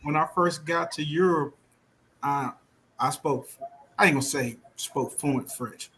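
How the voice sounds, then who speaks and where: A man's voice: a little high, a little slow, bright, forceful tone, slight background noise, rough recording. A man hosting a casual livestream chat, telling stories in a laid-back, joking way. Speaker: livestream host.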